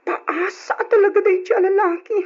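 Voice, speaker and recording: A woman's voice: extremely high pitch, fairly even intonation, moderate pace, a little mellow. A woman playing a dramatic, heartbroken young woman in an old radio drama, with an Ilocano accent and a whiny delivery. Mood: lovesick. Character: dramatic heartbroken young woman, stubborn about quitting school. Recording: dull old radio recording.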